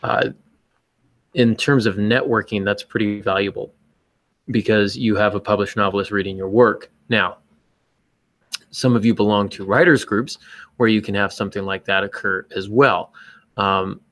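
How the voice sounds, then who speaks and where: A man's voice: fairly low pitch, fairly even intonation, moderate pace, pauses often, fairly bright tone. A man hosting a podcast, casually explaining his views on writing in a conversational way. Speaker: podcast host and writer.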